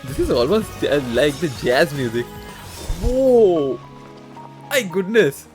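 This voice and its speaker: Man livestreaming games with a lively swooping voice. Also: on the high side. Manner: halting, easily amazed reactions. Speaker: game livestreamer. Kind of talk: casual live gameplay commentary. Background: game music.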